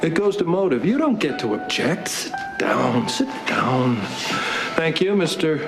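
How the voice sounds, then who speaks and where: A man's voice: on the high side, very animated, unhurried, creaky, over music, exaggerated voice. An actor playing a sardonic, showy man who runs a makeshift courtroom prosecution in a soap drama, with folksy sarcastic slang. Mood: probing, brisk. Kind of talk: television soap drama acting.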